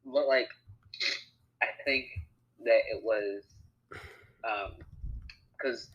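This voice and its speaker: A man's voice: on the low side, fairly even intonation, drawn-out words, lo-fi sound. The teen sidekick on a friends' movie podcast, a guy butting in with casual teasing. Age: teens. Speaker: podcast co-host. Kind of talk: casual film chat.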